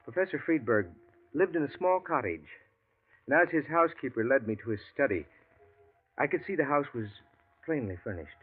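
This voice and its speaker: A man's voice: medium pitch, lively intonation, fairly quick, breathy edge. An actor playing an anxious male writer in his thirties in a 1940s radio drama, stammering and urgent. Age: 30s. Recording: tinny old radio broadcast.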